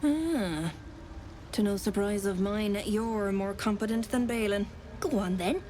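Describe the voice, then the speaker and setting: A woman's voice: mid-range voice, a little slow, warm tone, slight background noise, lightly acted. A woman playing a weary, hard-bitten trader in a video game, speaking bluntly and plainly. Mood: blunt, guarded.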